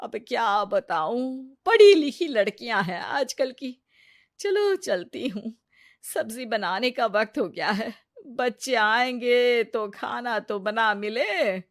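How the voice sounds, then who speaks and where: A woman's voice: a little high, lively intonation, slow, no room sound, studio quality. A woman playing a nagging, self-pitying middle-aged mother-in-law in a Hindi moral-story cartoon, carping and exclaiming. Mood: self-pitying complaint.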